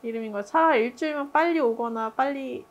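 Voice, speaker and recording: Higher-voiced woman in casual group discussion. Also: fast, muffled recording. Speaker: member of an art production team.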